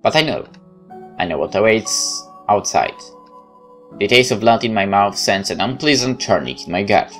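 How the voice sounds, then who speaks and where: A man with a Polish accent hosts a game playthrough in a fairly low voice. He reads the story text aloud with some drama, stumbles now and then, and slips into casual asides. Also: animated, moderate pace, a bit bright, faint music behind. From Poland. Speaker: let's play host.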